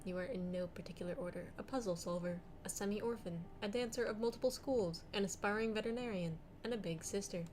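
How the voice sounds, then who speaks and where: A woman co-hosting a casual game playthrough at home, chatting and reading the game's text aloud in a giggly, quick, bossy way. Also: medium pitch, background noise. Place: home room. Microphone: shared desk microphone.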